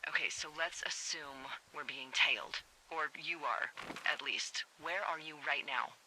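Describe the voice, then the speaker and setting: An actress playing a wry, watchful fire lookout in a video game, talking conversationally and sardonically over a two-way radio. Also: female voice, deep voice, unhurried, sharp tone, a little hiss or hum, exaggerated voice, low-quality recording. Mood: tense, guarded. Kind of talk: naturalistic video game dialogue.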